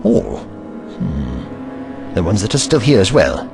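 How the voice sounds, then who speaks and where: An actor playing an eccentric, kindly and curious investigator in an audio drama, pensive and courtly in manner. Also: male voice, sits low, very animated, takes it slowly, vocal fry, over music, full character voice. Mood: pensive, concerned.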